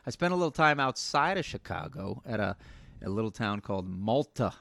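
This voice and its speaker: Low-voiced man, halting chat. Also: sing-song, vocal fry. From Iran, raised in the US. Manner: halting, many fillers and restarts, soft-spoken. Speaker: comedian guest. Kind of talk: casual podcast chat.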